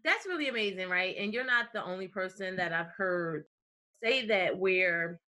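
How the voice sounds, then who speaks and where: Lower-pitched upbeat woman podcast host. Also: animated, moderate pace, bright, forceful tone, dry recording. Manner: enthusiastic, repeats praise. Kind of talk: casual interview, upbeat.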